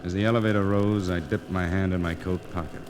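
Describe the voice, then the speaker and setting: An actor playing a grim, wry newspaper reporter in 1940s radio noir style, with terse, clipped, dramatic phrasing. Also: male voice, sits low, fairly even intonation, fast, close to an everyday voice. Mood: tense, brooding. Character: hard-boiled male newspaper reporter, grim, wry and determined. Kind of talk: 1940s radio noir acting, first-person narration. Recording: crackly, noisy 1940s radio transcription disc.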